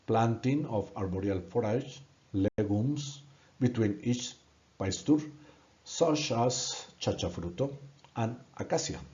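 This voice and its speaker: A man from Colombia with a Colombian Spanish accent, a native Spanish speaker giving an earnest, halting presentation in English and repeating words when stuck. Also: medium pitch, dark tone, highs cut off. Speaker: student presenting a course project. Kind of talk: prepared presentation narration.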